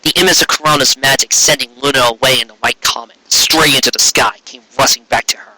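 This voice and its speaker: A man reading a story aloud in an expressive live style, with a casual way of talking. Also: medium pitch, fast talker, low-quality recording. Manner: expressive, acting out character dialogue. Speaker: reader of fan fiction.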